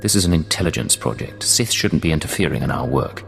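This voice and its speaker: An actor playing a cool, guarded undercover agent in a video game, speaking in a terse, understated way. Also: male voice, a bass voice, lively intonation, fast talker, creaky, heavily acted. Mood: doubtful. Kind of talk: dramatic game cutscene acting. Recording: long hall reverb, game music.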